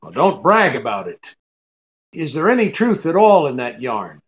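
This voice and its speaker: An actor playing a cynical, tough American private detective in a 1940s-style radio drama, with a sardonic, clipped manner. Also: male voice, fairly high pitch, big pitch swings, moderate pace, highs cut off. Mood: pressing, skeptical. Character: cynical, wisecracking hard-boiled male private detective.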